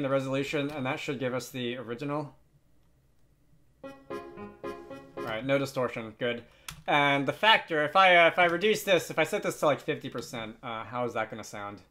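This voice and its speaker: Casual, hesitant man giving a software tutorial. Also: mid-range voice. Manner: hesitant, rambling, self-deprecating. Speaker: game development tutorial host.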